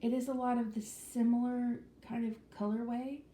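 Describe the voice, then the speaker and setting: A woman's voice: a little high, little pitch movement, moderate pace, mellow. A woman vlogger chatting casually and rambling with many ums and restarts, sometimes dropping to a whisper. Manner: drops to a whisper at times. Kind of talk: casual vlog chat. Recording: echoey room with faint noise.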